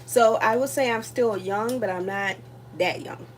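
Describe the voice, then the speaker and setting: A woman's voice: a little high, measured pace. A woman in her thirties chatting casually to her viewers while she cooks at home, rambling with lots of fillers and sounding worn out. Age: 30s. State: tired. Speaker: vlogger. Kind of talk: casual chat while cooking. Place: home kitchen. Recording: sizzling cooking noise behind. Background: food sizzling in a pan.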